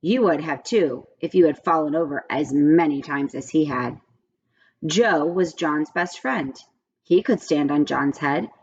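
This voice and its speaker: A woman reading aloud to children in an expressive, friendly storytelling style. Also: deep voice, unhurried, husky, highs cut off. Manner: friendly, addressing children directly. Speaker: read-aloud teacher.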